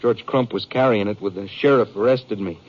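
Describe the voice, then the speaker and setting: A muffled old radio drama recording presents a frightened American ranch man on the run acted by a man. His voice is on the low side, rustic and halting, and he sounds earnest and aggrieved in classic western style. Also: natural voice. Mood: scared, confiding. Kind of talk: 1950s radio drama acting.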